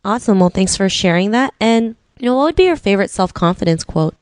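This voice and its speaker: A woman's voice: mid-range voice, lively intonation, fast talker, dry recording, clean studio sound. A woman hosting an interview podcast, talking conversationally with frequent "you know" filler phrases. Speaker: podcast host.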